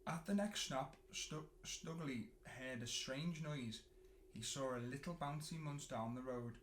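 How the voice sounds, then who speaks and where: Man reading a children's storybook in an echoey room. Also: medium pitch. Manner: animated, dramatic pauses and drawn-out words. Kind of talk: expressive picture-book storytelling for children. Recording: roomy echo, clear background noise.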